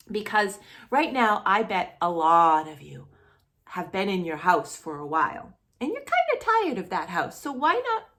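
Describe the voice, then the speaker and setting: A woman with a fairly high voice hosts storytime for small children. She speaks very slowly in an encouraging sing-song, posing simple questions and answering them as if the children had replied. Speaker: host of a children's storytime channel. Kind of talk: talking directly to young children.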